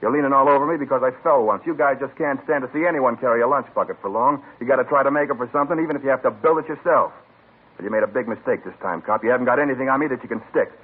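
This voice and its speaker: A man's voice: mid-range voice, very fast, slightly rough, close to an everyday voice. An actor playing a cagey, defiant ex-con under interrogation in a 1950s radio crime drama, talking in slangy tough-guy street speech. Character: sullen male ex-con suspect, cagey and defiant. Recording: tinny old radio broadcast.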